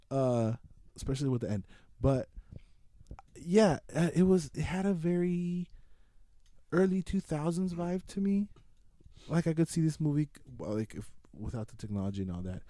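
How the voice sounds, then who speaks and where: Male podcast co-host, very mellow and dark tone, dry close sound, loose slangy film chat with swearing. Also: a little high, dry recording. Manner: slangy, profane, rambling. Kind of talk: casual film review chat.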